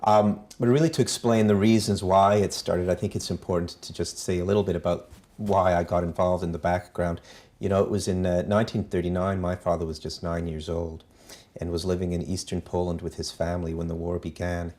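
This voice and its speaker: A middle-aged man from Canada, a foundation president, recounting his family's history in a measured way with many ums and uhs. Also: deep voice, flat delivery, darkish tone.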